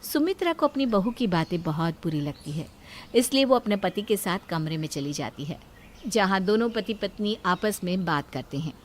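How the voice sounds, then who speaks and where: A woman's voice: lowish voice, animated, normal speed, slight background noise. A woman reading a scripted family story as a storyteller. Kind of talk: scripted Hindi story narration.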